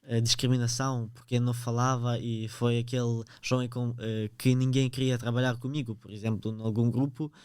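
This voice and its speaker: Teen guest, Ukrainian accent; smooth, resonant voice, dry close sound, halting delivery with many fillers. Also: male voice, mid-range voice, level tone, darkish tone, dry recording. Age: teens. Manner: hesitant, searching for words, frequent fillers. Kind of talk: podcast interview.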